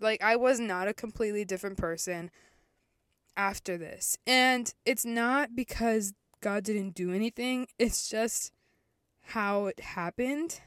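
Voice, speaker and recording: A woman's voice: medium pitch, smooth, no room sound, clean studio sound. A woman in her early twenties from El Salvador, hosting her own podcast and telling her story in a chatty, candid way that often corrects itself. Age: 20s. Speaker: podcast host. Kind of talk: casual faith podcast monologue.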